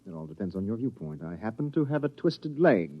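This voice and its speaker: An actor playing an educated, sensitive and bitter outcast mutant in 1950s radio drama, with theatrical, ironic, deliberate phrasing. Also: male voice, fairly low pitch, big pitch swings. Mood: wry. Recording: muffled old radio recording.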